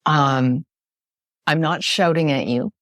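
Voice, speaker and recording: Woman guest with a big loud voice, slightly low, energetic explainer style, dry close mic. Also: slow pace, no room sound. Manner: emphatic, animated, frequent tag question "right?". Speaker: communication specialist guest. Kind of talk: podcast interview, explaining with examples.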